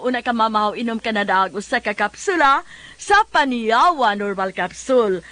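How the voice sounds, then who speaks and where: High-pitched woman, dry studio sound. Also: a bit bright, dry recording. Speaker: radio host. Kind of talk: radio chat and live sponsor reads.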